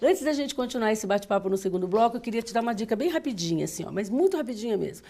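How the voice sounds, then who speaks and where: A woman's voice: fairly high pitch, expressive, rapid-fire, a little mellow. A Brazilian woman hosting a live TV talk show, with a congested, nasal voice from a cold and a chatty, emphatic manner. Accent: Brazilian Portuguese. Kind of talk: live TV interview and read-in ad.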